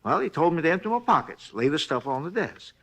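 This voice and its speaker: An actor playing a folksy, talkative hotel night clerk in a 1950s radio drama, rambling along in plain, ungrammatical speech. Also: male voice, a little high, sing-song, fast, fairly bright tone, full character voice. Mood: chatty. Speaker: robbery witness.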